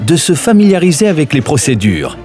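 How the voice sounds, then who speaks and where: A man's voice: on the high side, sing-song, a little fast. A man reading scripted documentary narration as a voice-over. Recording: music bed under voice. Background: music.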